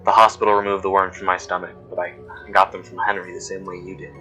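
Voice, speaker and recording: A haunted woman played by a man confides dark secrets in a horror audio drama. The voice is low and grave, with music running beneath it. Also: flat delivery, quick pace, poor audio. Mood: grave, troubled. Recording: music bed under voice. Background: background music.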